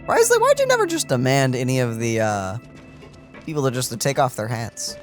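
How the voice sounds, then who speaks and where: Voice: medium pitch, wildly swooping pitch, fairly quick, over music. A let's play host giving casual, playful, joking commentary on a video game.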